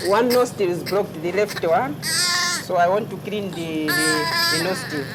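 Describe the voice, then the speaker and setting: A woman talks slowly and haltingly through a practical procedure as she does it. Her pitch moves up and down a lot. Loud noise and crying fill the background. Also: big pitch swings. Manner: halting, repeats words while working. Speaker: health worker demonstrating a procedure. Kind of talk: live hands-on demonstration, talking through actions.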